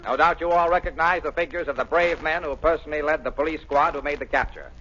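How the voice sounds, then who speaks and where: A man's voice: fairly high pitch, fairly even intonation, fast talker, bright, forceful tone. An actor playing a formal, oratorical male waxworks guide in 1930s radio style. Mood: pompous showmanship. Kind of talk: 1930s radio acting, formal lecture patter. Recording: dull, hissy 1930s radio recording.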